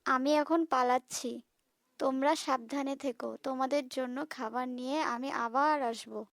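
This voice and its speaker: A woman's voice: sits high, fairly even intonation, unhurried. A woman voicing a worried, helpless mother bird in a cartoon, anxious and motherly. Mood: anxious, caring. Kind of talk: Bengali moral cartoon dialogue.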